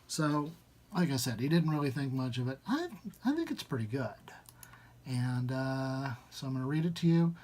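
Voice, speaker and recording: A man's voice: mid-range voice, very animated, normal speed, a little mellow. A man with a mid-range voice gives a live reading. He speaks as a literary reader, in the style of an informal introduction or a told story.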